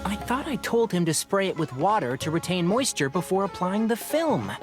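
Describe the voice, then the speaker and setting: A man's voice: high voice, very animated, normal speed, music in the background. A man playing a sensible, exacting young forest ranger in a video game, articulate and matter-of-fact. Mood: exasperated.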